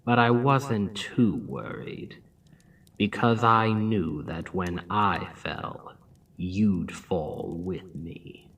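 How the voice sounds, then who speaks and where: A man voicing a scheming, seductive demon king spirit in an ASMR roleplay, taunting and mocking in a low, intimate tone that drops into whispers. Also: creaky, a bit bright, far from the mic, exaggerated voice. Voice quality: deep, slow, intimate. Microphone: close ASMR mic.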